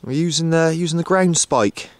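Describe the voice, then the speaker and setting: A man with a voice a little higher than average talks casually to a handheld camera outdoors. He is matter-of-fact and hesitant, stopping often mid-thought as he explains things. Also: normal speed, no room sound. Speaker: radio hobbyist filming himself. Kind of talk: casual outdoor vlog narration. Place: outdoors on an open hilltop. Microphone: handheld camcorder, operator behind camera.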